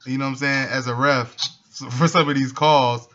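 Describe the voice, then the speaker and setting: Male interviewer, bright edgy tone, relaxed slangy delivery, casual sports talk on a dull phone call. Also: medium pitch, normal speed. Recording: dull, noisy phone line.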